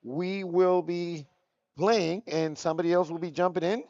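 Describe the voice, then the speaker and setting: A man's voice: high voice, steady tone, normal speed, a little mellow, dry recording. Male live-stream commentator, casual and chatty, dry close sound with a dull lo-fi edge. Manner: chatty, reads out chat names. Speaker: tournament commentator.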